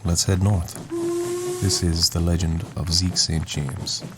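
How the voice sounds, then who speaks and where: A man narrating a scripted dramatic story in a solemn, poetic, deliberate way. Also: low voice, steady tone, heavy background noise. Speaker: narrator, host of a story series. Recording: music bed under the voice. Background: music.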